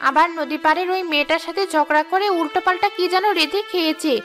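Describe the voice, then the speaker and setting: An older village mother in a cartoon , acted by a woman. She frets over her household in a very high voice. Faint music plays underneath. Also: steady tone, fast. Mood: exasperated. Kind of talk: cartoon character dialogue.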